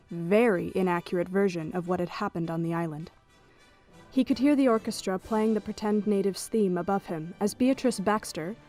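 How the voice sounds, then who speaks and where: A woman's voice: mid-range voice, darkish tone. A woman reading scripted third-person narration for an audio drama as its storyteller. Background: music.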